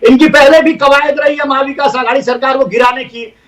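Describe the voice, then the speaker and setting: A man with a strikingly high voice presses his point with rhetorical questions and rising force. He sounds set back from the microphone, as over a remote link. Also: fairly even intonation, brisk, a bit bright, distant mic. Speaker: political panelist. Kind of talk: heated TV debate argument.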